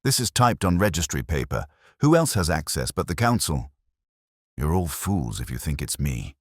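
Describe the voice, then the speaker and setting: Man reading as an indignant, animated townsman. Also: rumbling low, normal speed, no echo, studio quality, full character voice. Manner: indignant, declamatory. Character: indignant, defiant townsman making accusations. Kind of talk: storybook character dialogue.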